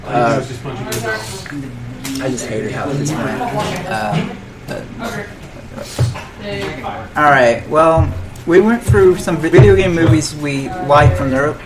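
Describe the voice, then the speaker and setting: A man's voice: on the high side, takes it slowly, long pauses, poor audio. A man hosting a podcast chat, blunt and opinionated but feeling for his words. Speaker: podcast host. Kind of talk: casual chat. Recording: loud crowd noise around the voice. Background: loud crowd chatter.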